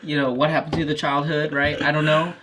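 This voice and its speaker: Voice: mid-range voice, flat delivery, fairly quick, background noise. A co-host on a casual spirits review podcast who hesitates and restarts words while giving opinions.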